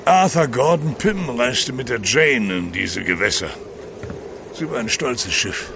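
An actor playing a gruff, driven, world-weary ship's captain in a radio drama. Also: male voice, mid-range voice, lively intonation, a little slow, background noise. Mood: grave.

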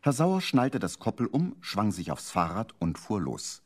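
An actor as the storyteller of a children's radio play, narrating with playful suspense in a husky voice. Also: male voice, lowish voice, sing-song, a little slow, darkish tone. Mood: suspenseful, playful.

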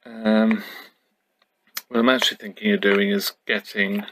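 A man's voice: on the low side, flat delivery, a little slow, fairly bright tone, a little hiss or hum, poor audio. A middle-aged man giving a casual, unscripted show-and-tell, musing hesitantly as he goes. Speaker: YouTube host. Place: indoors at home.

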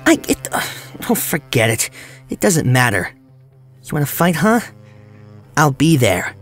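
A man's voice: fairly high pitch, very animated, measured pace, soft background music. A man voicing a young male hero with a secret identity, suspicious and defiant. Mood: wary. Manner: suspicious, defiant, talks back.

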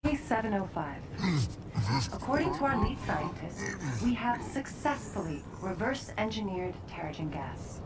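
A woman playing a clinical, detached scientist in a video game, speaking in formal, measured phrases like an experiment log. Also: mid-range voice, very animated. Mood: cold, commanding. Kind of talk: scripted game acting, lab log. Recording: noisy, distant surveillance video playback.

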